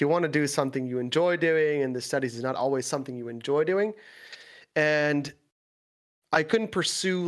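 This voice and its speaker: A young man from the Netherlands chatting casually, reflective and hesitant, in English with an American-sounding Dutch accent. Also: medium pitch. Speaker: podcast guest, gaming content creator. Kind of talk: casual chat.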